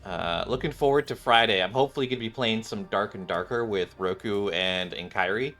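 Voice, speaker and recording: Low-voiced man rambling over game music. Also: brisk, a bit bright. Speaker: video game streamer. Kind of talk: casual live stream chat over gameplay. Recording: game music playing behind the voice. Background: video game music.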